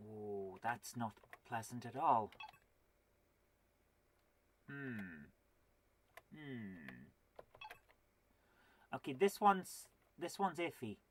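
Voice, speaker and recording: A woman's voice: a bass voice, big pitch swings, extremely slow, long pauses, slight background noise. Woman around forty with a cold, chatting away on a live retro game stream, jokes at her own expense. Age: 40s. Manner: chatty, self-deprecating, talks to viewers while playing; mutters instructions to herself while playing. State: has a cold. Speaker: retro gaming host. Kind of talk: live gameplay stream chat.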